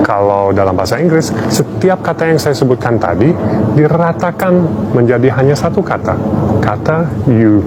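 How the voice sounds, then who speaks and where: A man's voice: mid-range voice, noisy background. A man from Australia, a native English speaker, giving a wry, playful public talk as a language teacher.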